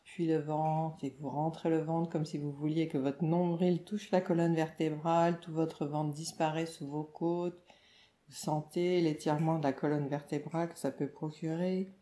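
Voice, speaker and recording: A woman meditation teacher leading a guided relaxation in a calm, soothing, instructional way, with short phrases and pauses. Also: low voice. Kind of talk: guided yoga nidra relaxation narration. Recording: roomy, echoing voice recording.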